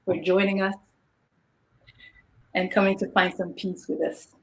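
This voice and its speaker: A woman's voice: lowish voice, level tone, a little slow. A woman from Canada co-hosting an online meditation session, explaining the plan in a friendly way with frequent ums. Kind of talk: warm welcoming introduction. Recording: roomy echoey sound.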